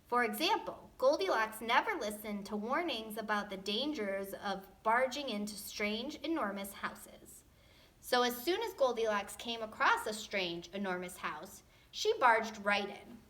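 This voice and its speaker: A woman reading a storybook aloud to children in an expressive, playful, tongue-in-cheek way. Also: a little high, a little slow.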